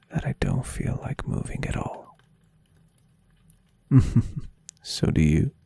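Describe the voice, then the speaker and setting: A man voicing a tender, adoring and playfully teasing centuries-old vampire boyfriend in an intimate ASMR roleplay, speaking in a soft, husky half-whisper. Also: mid-range voice, no room sound, exaggerated voice. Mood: relaxed, affectionate. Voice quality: breathy, husky half-whisper with gravelly creak. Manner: soft, soothing, affectionate murmuring.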